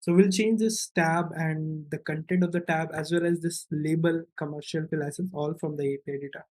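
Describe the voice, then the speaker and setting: A man's voice: fairly high pitch, fairly even intonation, brisk, a little mellow. Man casually talking through a coding tutorial. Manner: thinks aloud, often checks with "right?". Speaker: programming tutorial instructor. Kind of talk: casual screen-recorded coding walkthrough.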